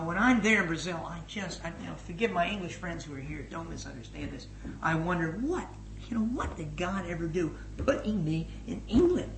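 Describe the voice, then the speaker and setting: A middle-aged man giving a spoken report as a missions leader to a gathering, energetic and emphatic, swinging between loud and hushed. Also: fairly high pitch. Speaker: missions leader addressing his organisation. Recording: echoey room with faint noise.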